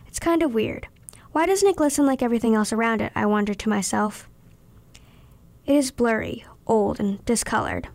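A woman's voice: on the high side, normal speed, gravelly, a little mellow, no room sound. A young woman in her teens reading her own written story aloud as a scripted narration. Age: teenager.

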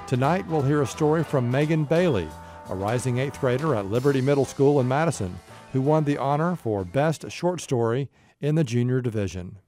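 Male radio host, mid-pitched, over music. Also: expressive, a little fast, a little mellow. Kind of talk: scripted radio introduction. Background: music bed.

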